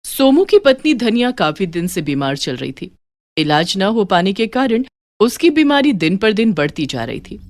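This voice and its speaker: A woman narrating a scripted children's moral story in an expressive storytelling manner. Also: mid-range voice, moderate pace. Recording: faint music behind.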